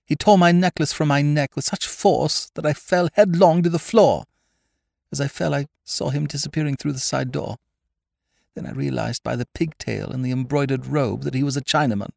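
A male narrator puts on a shaken aristocratic lady for a storybook mystery. The voice is lifted in pitch and dramatic, as she tells her tale. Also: normal speed, gravelly, darkish tone, dry recording, clean studio sound. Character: shaken aristocratic English lady recounting a robbery.

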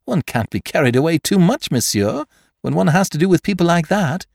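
A man reading aloud and voicing an excitable young male amateur detective who shouts with wonder and admiration. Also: a little high, brisk, gravelly, dry recording, studio quality, voice pushed up, full character voice. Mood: excited. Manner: feverish, exclamatory, admiring.

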